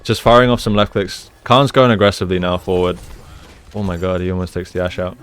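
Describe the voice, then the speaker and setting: A man's voice: low-pitched, level tone, fast talker, clean tone. A man giving casual, explanatory gameplay commentary as an esports coach, using gamer slang. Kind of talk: live gameplay commentary and breakdown.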